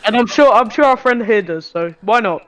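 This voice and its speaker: A man's voice: high-pitched, expressive, a little fast, a bit bright. A man playing a friendly, matey sheriff's deputy in an improvised game roleplay, talking in an easygoing way. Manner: easygoing, matey, calls people lad and pal. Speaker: player in a Minecraft roleplay.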